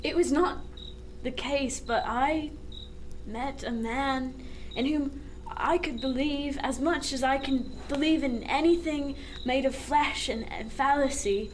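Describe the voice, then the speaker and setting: A woman playing a wry, philosophical sailor in a radio drama, speaking in a measured, reflective way with frank skepticism. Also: high-pitched, a little hiss or hum. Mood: tipsy, fond.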